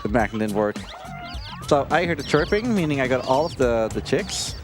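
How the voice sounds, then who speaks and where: A man's voice: medium pitch, very animated, moderate pace. A man giving casual, chatty, self-deprecating live commentary while speedrunning a video game. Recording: live marathon stream with game audio. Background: game music.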